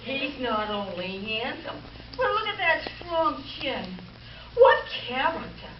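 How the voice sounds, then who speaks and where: Dim, brassy female switchboard sidekick played by an actress, high animated voice, vintage radio comedy, echoey stage. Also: slow pace, exaggerated voice. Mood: brassy, goofy. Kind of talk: 1940s radio comedy acting. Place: live stage show before an audience. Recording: echoey stage recording, distant, dull, audience noise.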